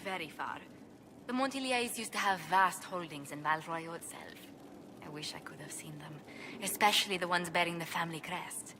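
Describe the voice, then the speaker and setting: A woman's voice: fairly low pitch, moderate pace, background noise, close to an everyday voice. An actress playing an earnest, proper, easily flustered noblewoman in a video game, with a polite, precise, formal manner. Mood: wistful, composed. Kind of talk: video game cut-scene acting.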